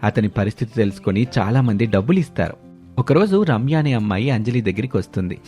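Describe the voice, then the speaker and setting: A man's voice: on the low side, animated, quick pace, mellow, breathy edge. A man narrating a scripted moral story as a storyteller. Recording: background music under the voice.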